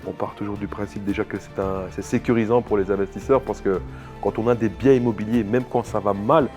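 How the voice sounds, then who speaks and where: A man's voice: lowish voice, fairly even intonation, a little fast, a little mellow, faint music behind. A man giving an investor testimonial in an interview, earnest and explanatory.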